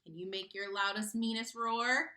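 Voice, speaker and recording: A woman reading a children's picture book aloud in an expressive, theatrical manner, engaging young listeners. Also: mid-range voice, moderate pace.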